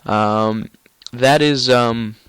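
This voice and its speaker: A man's voice: medium pitch, very slow, fairly bright tone, clear, resonant tone, no room sound. A young man with a stuffy, nasal cold, rambling casually through gameplay commentary with lots of ums and restarts. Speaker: gaming YouTuber.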